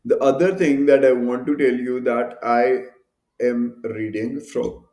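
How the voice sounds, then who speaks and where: A man's voice: mid-range voice, steady tone, mellow. Man reading aloud in an echoey room. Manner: halting, stumbles over words and corrects himself. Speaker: video channel host.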